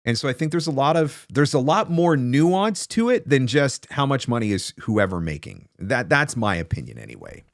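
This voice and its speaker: A man's voice: mid-range voice, sing-song, moderate pace, gravelly, few pauses, no echo. A man in his forties talking casually and emphatically as a livestream host and coach, close on a desk microphone in a small home studio. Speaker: host, voice-over marketing coach. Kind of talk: casual livestream advice talk, answering comments.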